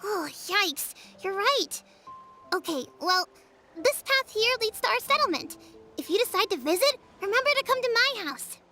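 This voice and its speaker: A woman voicing a chatty, wary young child in a video game, earnest and expressive. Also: very high-pitched, normal speed, big-room sound, full character voice. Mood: hurried, friendly. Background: music.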